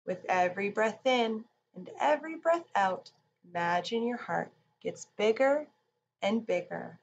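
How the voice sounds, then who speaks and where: Slow-paced woman reading to children. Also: medium pitch, animated, dull audio. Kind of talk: children's storybook read-aloud and guided relaxation.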